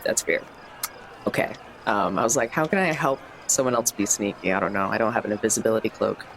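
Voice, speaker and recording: A man's voice: lowish voice, fast, creaky, a bit bright. A man playing a tabletop game, casually narrating a character in a rambling, self-interrupting way. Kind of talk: casual improvised roleplay narration. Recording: faint background noise.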